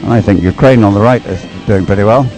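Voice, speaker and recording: A man giving unscripted live race commentary, explaining as he goes in a hesitant, halting way and repeating words. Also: fairly low pitch, dark tone, faint music behind, poor audio. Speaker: sports commentator.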